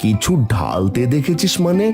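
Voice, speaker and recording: A man's voice: mid-range voice, huge pitch swings, moderate pace, darkish tone, soft background music. A man voicing an anxious poor village fish farmer and father in a children's cartoon. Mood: doubtful, gentle. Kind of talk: Bengali cartoon voice acting.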